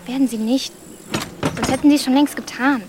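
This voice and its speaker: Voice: high voice, fairly even intonation, fairly quick, noisy background, close to an everyday voice. A young performer playing an anxious, earnest thirteen-year-old village girl, worried and insistent, in a radio drama.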